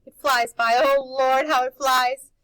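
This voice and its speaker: A woman's voice: high-pitched, fairly even intonation, unhurried, a bit bright, no room sound, pitched above the performer's own voice, low-quality recording. A woman voicing an anxious, kindly older housekeeper in a storybook, giving grave, pleading warnings.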